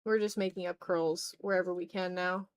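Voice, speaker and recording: A woman's voice: fairly low pitch, little pitch movement, moderate pace. A woman chatting casually on an art livestream, thinking aloud in a hesitant, self-deprecating way. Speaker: streamer and content creator. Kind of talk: casual livestream chat while drawing.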